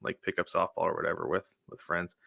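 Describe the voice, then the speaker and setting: A man with a somewhat low voice chats casually as a sports podcast co-host. The sound is close and dry, and his delivery is informal and anecdotal, sprinkled with "like" and "you know". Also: level tone, quick pace, vocal fry, sharp tone, no room sound.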